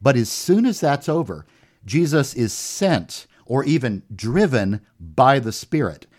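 A man's voice: medium pitch, sing-song, unhurried, darkish tone. A man co-hosting a podcast, reading a Bible passage aloud and breaking it down step by step. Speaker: podcast co-host. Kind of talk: conversational Bible discussion.

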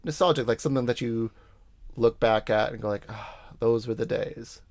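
A man's voice: medium pitch, normal speed, vocal fry, a little mellow, dry recording. A young adult man with a Boston accent hosting a casual family podcast, talking in an encouraging, coaching way with lots of fillers. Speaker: podcast host.

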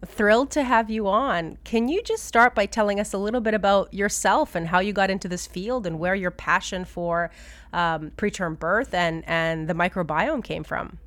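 A woman's voice: mid-range voice, normal speed, a bit bright, no room sound. A woman hosting a podcast, introducing her guest and asking questions in a friendly, conversational way. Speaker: podcast host. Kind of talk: podcast interview.

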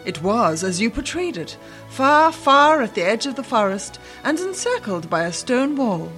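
A woman's voice: a little high, sing-song, normal speed, a bit bright, soft background music. An actress playing a bold young bride, demure yet defiant, telling her tale in a theatrical fairy-tale radio drama. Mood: demurely distressed.

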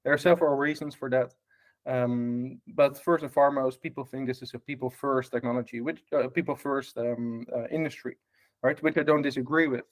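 A man's voice: medium pitch, fairly even intonation, fairly quick, poor audio. A man from the Netherlands with a Dutch accent, speaking as a podcast guest about hotel technology, full of fillers and restarts and ending points with "right?". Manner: many fillers and restarts, tag questions. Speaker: guest, hospitality tech lead. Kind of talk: casual podcast interview.

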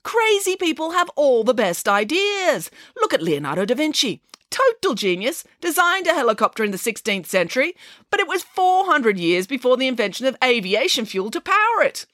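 A woman voicing a bossy, theatrical, self-assured lady pig from a children's story, grand and brisk in manner. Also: high voice, sing-song, a bit bright, no echo, clean studio sound, voice pushed up. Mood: emphatic, breezy. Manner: grandiose, brisk, dismissive, emphatic. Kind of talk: acted children's storybook dialogue.

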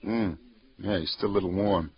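A man's voice: low-pitched, fairly even intonation, moderate pace. A man playing a tough, wisecracking American police lieutenant in a 1940s-style radio detective drama, with clipped slangy patter. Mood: businesslike. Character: hard-boiled, wisecracking New York police detective lieutenant. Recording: dull old radio recording.

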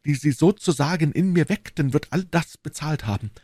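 A man's voice: fairly high pitch, expressive, normal speed, a little mellow, no room sound, studio quality, pitched above the performer's own voice. A man voicing a passionate, lovestruck English duke from a classic novel, pleading and fatalistic in an ardent, courtly, declamatory manner. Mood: earnest.

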